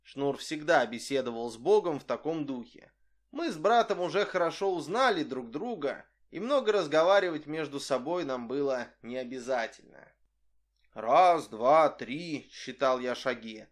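A man reading an audiobook narration in a calm, even voice. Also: on the high side, moderate pace. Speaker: audiobook reader.

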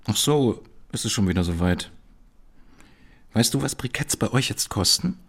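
A tactful, well-to-do friend in a radio drama. His voice is deep and husky, and he speaks with courteous, considerate ease. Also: lively intonation, moderate pace, full character voice. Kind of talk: German radio play acting.

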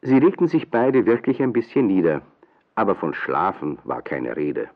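A man's voice: deep voice, animated, normal speed. A man narrating a German children's radio play in a scripted storytelling style. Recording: dull, band-limited old radio recording.

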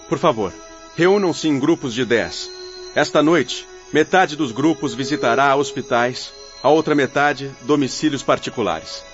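A man's voice: mid-range voice, lightly acted. An actor playing a courteous male spirit leader, speaking formally and earnestly in a radio drama. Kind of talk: scripted radio drama acting. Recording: dull lo-fi audio, faint music.

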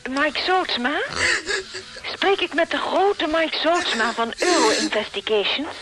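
High-voiced secretive woman in trouble. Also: lively intonation, measured pace, rough recording. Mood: anxious, secretive. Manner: hesitant, guarded, insistent. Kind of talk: radio thriller acting.

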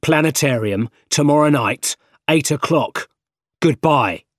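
Blustering middle-aged newspaper boss , acted by a male narrator: bossy, loud, exclamatory storybook character, raised pitch. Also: measured pace, no echo, clean studio sound. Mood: brisk, dismissive.

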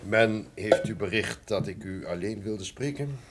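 An actor playing a proud, menacing and passionate duke in a radio drama, speaking in a pompous, formal, commanding way. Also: male voice, fairly low pitch, unhurried, slight background noise. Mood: smooth, proud. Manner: pompous, commanding, formal old-fashioned speech. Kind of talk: theatrical radio drama acting.

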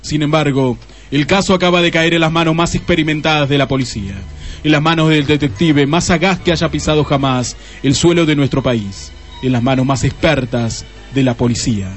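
An actor playing a grave, melodramatic narrator with an Argentine accent in a parody radio drama. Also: male voice, a little high, fairly quick, faint music behind, natural voice. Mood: mock-solemn. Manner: mock-solemn, portentous.

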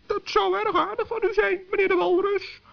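A man's voice: very high voice, level tone, normal speed, vocal fry, voice pushed up, heavily acted. A man voicing a little forest gnome, polite, earnest and hesitant, in a children's radio play. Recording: muffled old sixties recording.

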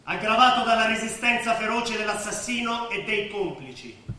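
Sharp, stern police brigadier. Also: male voice, high-pitched, lively intonation, moderate pace. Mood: officious coldness. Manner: curt, commanding. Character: stern, contemptuous male police brigadier, officious and hard. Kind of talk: stage drama acting. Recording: echoey theatre stage, distant, faint noise.

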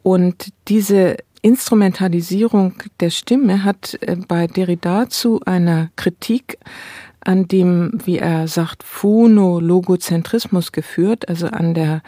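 Bright-voiced woman explaining as an academic. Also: lowish voice, no room sound, clean studio sound. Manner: scholarly, explanatory, long qualified sentences. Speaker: interviewed cultural studies scholar. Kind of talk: academic interview, spontaneous explanation.

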